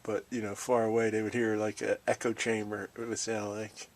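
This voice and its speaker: A man's voice: lowish voice, level tone, normal speed. A middle-aged or older American man giving a casual, folksy hands-on show-and-tell, musing as he goes. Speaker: DIY video host.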